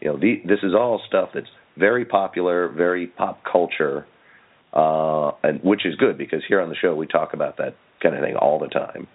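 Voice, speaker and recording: A man's voice: low voice, moderate pace, narrow, tinny audio. A man hosting a pop culture radio show, interviewing in a chatty, informal, self-deprecating way. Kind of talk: casual interviewing.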